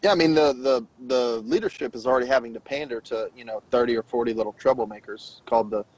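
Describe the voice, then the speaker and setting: A man's voice: mid-range voice, fast talker, a little mellow, slightly rough, no echo. A man in his thirties co-hosting a political podcast, chatting casually and opinionated, full of "you know" asides. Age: 30s. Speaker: podcast co-host. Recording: dull lo-fi sound.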